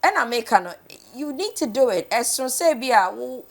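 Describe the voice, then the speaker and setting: A woman's voice: fairly high pitch, lively intonation, normal speed. A woman with a Ghanaian accent in casual morning show chat, repeating phrases for emphasis and slipping into Twi.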